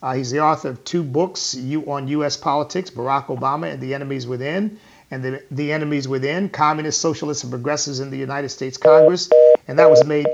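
Emphatic talk radio host, dull audio. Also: male voice, mid-range voice, gravelly. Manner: emphatic, repetitive, addresses listeners as folks. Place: hotel or venue on tour.

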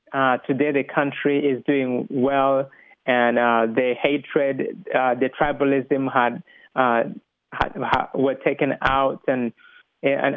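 A man in his thirties from Rwanda with a Rwandan accent, telling his story as an interview guest in a halting way, repeating words. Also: medium pitch, dry recording. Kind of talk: personal testimony in an interview. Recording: thin, band-limited phone-like line.

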